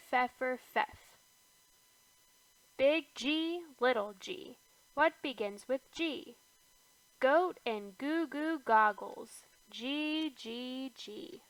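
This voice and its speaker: Slow, high sing-song storybook woman. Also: animated, dry recording, low-quality recording. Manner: sing-song, rising on each capital letter and dropping to a soft hush on repeated letters. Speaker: children's book reader. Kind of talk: slow read-aloud for toddlers.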